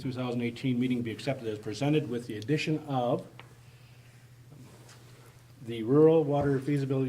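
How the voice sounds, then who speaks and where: A man with a hoarse, raspy voice chairing a formal council meeting, calling motions briskly in a council chamber. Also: mid-range voice, warm tone. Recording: noisy room recording.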